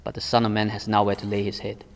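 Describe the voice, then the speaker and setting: A man reading the lines of a calm, authoritative teacher in a quiet, grave, slow way. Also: lowish voice, gravelly. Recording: long reverb, like a large hall.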